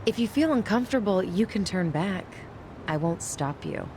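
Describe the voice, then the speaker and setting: A woman's voice: medium pitch, lively intonation, moderate pace, background noise, close to an everyday voice. An actress playing a poised, mysterious and alluring woman in a video game dub, self-assured, coy and teasing. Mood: composed. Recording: music behind dialogue.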